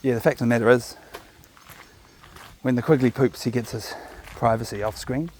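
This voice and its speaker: A man's voice: mid-range voice, fairly even intonation, normal speed, a little mellow, a little hiss or hum. A man with a New Zealand accent, chatting casually to viewers while walking his dog on outdoor park trails, recorded on a chest-mounted camera. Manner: laid-back, chatty, jokey asides. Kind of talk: casual rambling monologue.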